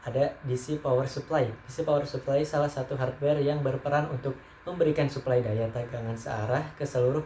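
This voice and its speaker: A man's voice: medium pitch, warm tone. A young man, a student, reading out a class presentation and stumbling over a few words. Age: young adult. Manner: reads steadily, stumbling on some words. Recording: echoey room, faint background noise.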